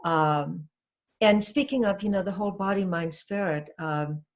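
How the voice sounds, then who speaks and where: An older woman from Trinidad talks in an earnest, reflective way, her voice sitting fairly low for a woman. She is a hypnotherapist guest in a casual interview and often pauses on soft fillers. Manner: earnest, reflective, with frequent "you know" and "um".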